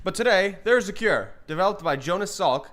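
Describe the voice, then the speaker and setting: A man's voice: high voice, animated, brisk, fairly bright tone. A young man reading a scripted parody of a 1950s newscast as the anchor. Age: young adult. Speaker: news anchor.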